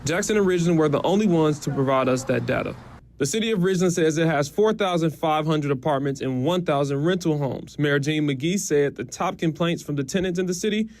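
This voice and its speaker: A man's voice: a little high, fairly quick, darkish tone. An American man delivering scripted narration as a television news reporter.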